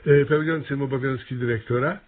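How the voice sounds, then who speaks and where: An actor playing a pompous, officious small-town mayor who fumbles for words in a radio comedy. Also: male voice, medium pitch, steady tone, a little fast, close to an everyday voice. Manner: officious, pompous, hesitant fillers. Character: pompous small-town mayor, self-appointed theatre director. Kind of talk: radio comedy drama acting. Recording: muffled, noisy, phone-like radio recording.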